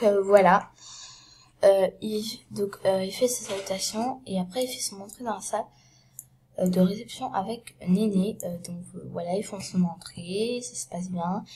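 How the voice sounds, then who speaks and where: A woman with a cold talks through a story as she sets out her props, casual and unscripted. She stumbles and restarts, leans on fillers, and keeps sinking into a hushed, breathy half-whisper. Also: mid-range voice. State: has a cold. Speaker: vlogger retelling a story.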